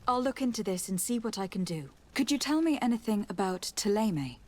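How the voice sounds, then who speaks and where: Woman doing a young noble lady diplomat in a video game, medium pitch, polite, measured, formal. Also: expressive, close to an everyday voice. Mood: composed, inquiring. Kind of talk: video game dialogue acting.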